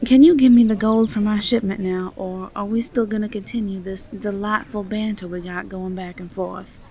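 A woman playing a sweet, quick-witted young farm woman with a Southern US accent in an audio roleplay, folksy and teasing. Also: mid-range voice, fairly even intonation, warm tone, lightly acted. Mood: sarcastic.

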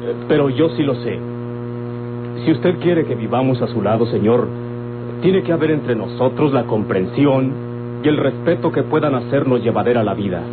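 Actor as a formal, moralizing son, muffled radio. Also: male voice, mid-range voice, animated, normal speed, natural voice. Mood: stern, moralizing. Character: upright, reproachful grown son. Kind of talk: formal old-time radionovela acting. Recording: muffled, hissy old radio recording.

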